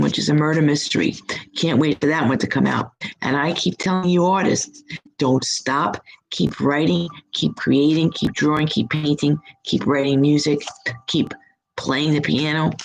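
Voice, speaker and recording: A hoarse, husky middle-aged woman hosting a live stream as a psychic reader, chatting in a rambling, upbeat way from her home. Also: sits low, rough recording. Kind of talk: casual live-chat monologue.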